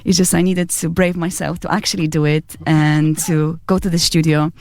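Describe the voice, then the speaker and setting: A woman in her thirties from Poland with a Polish accent, a singer-songwriter answering interview questions softly in a small radio studio on a boom mic. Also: little pitch movement, moderate pace, dry recording. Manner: soft-spoken, laughs often, hesitant fillers. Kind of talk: casual interview chat.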